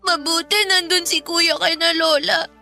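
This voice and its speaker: A woman's voice: very high-pitched, steady tone, a little slow, creaky, bright, forceful tone, faint music behind, heavily acted. A woman playing a distressed young daughter in a Filipino radio drama, pleading and tearful. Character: distressed young daughter, loyal to her parents.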